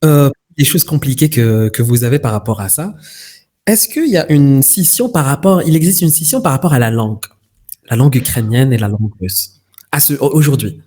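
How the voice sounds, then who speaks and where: A man's voice: medium pitch, normal speed, mellow. A man hosting an interview, asking casual, informal questions. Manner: informal, addresses guest with tu. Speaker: interviewer, host.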